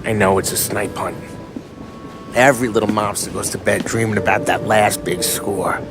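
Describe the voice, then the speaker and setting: A man's voice: lowish voice, steady tone, moderate pace, over music, natural voice. An actor playing an embittered, foul-mouthed American mobster in his late thirties, talking in streetwise slang in a crime game. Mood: weary, bitter. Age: late 30s. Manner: streetwise slangy wiseguy talk. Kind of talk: cinematic video game crime drama acting.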